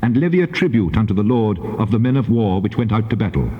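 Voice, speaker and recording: An actor playing God as a solemn, commanding divine voice in dramatized scripture, speaking in measured phrases. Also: male voice, on the low side, very animated. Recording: muffled, long cavernous reverb.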